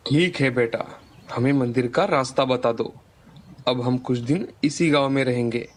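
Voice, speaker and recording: A man playing a calm, wise holy man in a cartoon moral story, measured and fatherly. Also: mid-range voice, natural voice.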